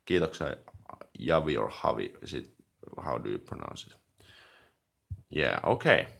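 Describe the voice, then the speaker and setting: A man with a deep, low voice hosts a relaxed live stream about language learning. He reads and answers viewer comments in a chatty, easygoing way, dropping "like" as filler between thoughts. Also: measured pace, vocal fry. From Finland.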